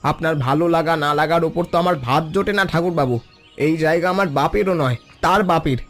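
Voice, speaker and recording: Man playing a high-voiced, proud poor fisherman. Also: brisk, fairly bright tone, soft background music. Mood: wounded defiance. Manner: earnest, dignified, pleading. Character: poor, proud, long-suffering fisherman, a father. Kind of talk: scripted cartoon dialogue.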